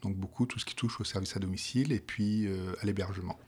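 A man's voice: low voice, fairly even intonation, fast talker, breathy edge. A middle-aged man from France with a Parisian accent, explaining his work in an interview, hesitant with many restarts. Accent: Parisian French. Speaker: project manager for a provincial health plan.

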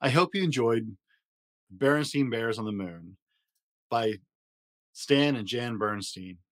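A man hosting a story podcast, reading a rhyming children's picture book aloud in an expressive, rhythmic way. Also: medium pitch, slow pace. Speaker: podcast host and story reader.

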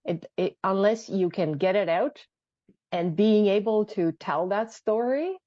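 A woman hosting a podcast interview whose first language is not English, encouraging her guest and pausing with "um.". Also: medium pitch, warm tone, no room sound, lo-fi sound. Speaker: podcast host.

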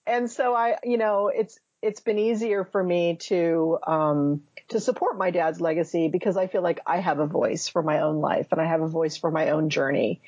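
A woman's voice: deep voice, a little mellow, husky, dry recording, dull audio. A woman in her fifties, a memoir author answering interview questions thoughtfully, searching for words and restarting often. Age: 50s.

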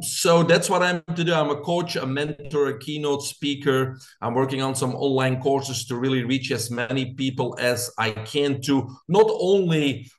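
Man, Belgian accent, middle-aged, earnest motivational tone, ordinary pitch, guest on a podcast. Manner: earnest, motivational, speaks in lists. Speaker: guest, coach and biohacker. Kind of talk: interview storytelling.

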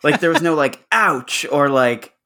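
A man co-hosting a podcast, chatting informally and a little haltingly as he gives opinions. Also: fairly high pitch, animated, bright, forceful tone. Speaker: podcast co-host. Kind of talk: casual chat.

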